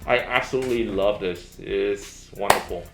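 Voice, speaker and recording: A man's voice: lowish voice, normal speed. A man co-hosting a casual review discussion, speaking enthusiastically with frequent "you know" asides. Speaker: review show co-host. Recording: roomy echo with music underneath.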